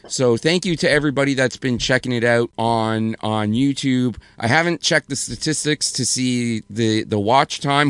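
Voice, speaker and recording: Hoarse man chatting on a podcast. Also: medium pitch, no room sound. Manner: rambling, self-correcting, apologetic. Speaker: podcast co-host. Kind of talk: casual podcast chat.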